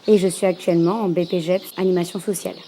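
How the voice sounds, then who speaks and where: A woman's voice: on the low side, fairly even intonation, racing, mellow, slight background noise, poor audio. A woman in her thirties, a trainee social youth worker, giving advice in an interview with frequent hesitations. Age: 30s. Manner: hesitant, many fillers. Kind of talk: recorded interview answer.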